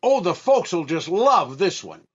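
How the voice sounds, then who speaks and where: A man playing a droll, hesitant comedian and disc jockey sidekick in 1940s radio comedy, with a deliberate deadpan delivery and slightly muffled sound. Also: high-pitched, breathy edge, full character voice. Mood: droll, selling.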